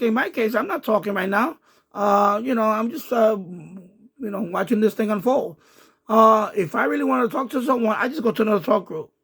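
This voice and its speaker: Higher-pitched, creaky-voiced man commenting casually. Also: a bit bright. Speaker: video host, amateur radio hobbyist.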